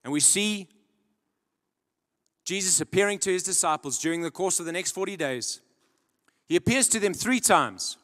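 A young man preaching a sermon on a church stage into a handheld mic, dramatic, swinging between loud emphatic peaks and low quiet drops. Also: animated, moderate pace, long pauses. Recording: long church hall reverb.

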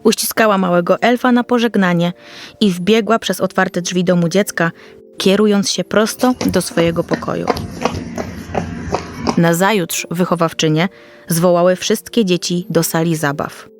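A woman's voice: lowish voice, fairly even intonation, normal speed, soft background music. A woman storyteller reading a scripted Christmas fairy tale for children.

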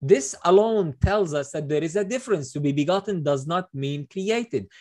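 A man's voice: on the high side, animated, moderate pace, warm tone. Emphatic middle-aged man posing questions. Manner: emphatic, building points with rhetorical questions. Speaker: host. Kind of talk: explaining scripture on a video call. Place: small room, indoors. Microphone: webcam on video call.

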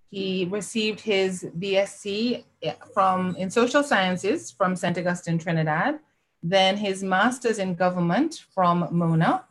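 A middle-aged woman hosting an online alumni interview, formally reading the guest's introduction over a video call. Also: lowish voice. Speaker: host. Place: home room. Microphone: video call webcam.

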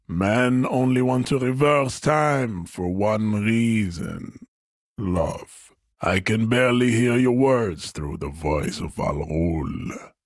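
An imposing, pompous ideologue from a video game. His low voice swoops through grand, scornful declamations. The sound is dry and close, every pronouncement full of proud disdain. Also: no room sound. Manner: grandiloquent, declamatory, emphatic listing. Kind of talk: theatrical video game character acting.

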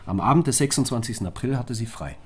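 A man's voice: mid-range voice, lively intonation, quick pace, breathy edge. An actor playing a deferential male junior investigator reporting to a superior in a radio drama.

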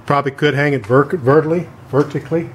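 A man's voice: fairly high pitch, fairly even intonation, quick pace, slight background noise. A middle-aged man from Texas casually explaining a homemade project in his garage, talking in a plain, rambling way with lots of fillers. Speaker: DIY maker. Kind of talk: casual how-to demonstration. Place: home garage workshop. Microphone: camera mic from a distance.

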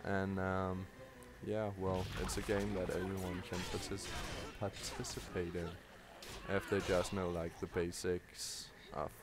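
A man from Denmark, a native Danish speaker, giving tired, halting live commentary on his game play with many ums and restarts. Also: deep voice, completely flat delivery. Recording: loud background noise over the voice.

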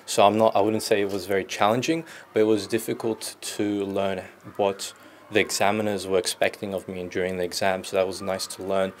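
A man's voice: low-pitched, flat delivery, brisk, a little hiss or hum. A young man from Australia, a student answering interview questions thoughtfully, often starting with um. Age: young adult. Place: classroom indoors. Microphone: small handheld mic near chest.

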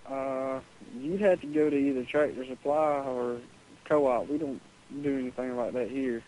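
A man's voice: mid-range voice, steady tone, normal speed, warm tone. A man working as a pet store employee, answering matter-of-factly and a little hesitantly over the telephone. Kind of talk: customer service phone conversation. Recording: hissy, noisy telephone line.

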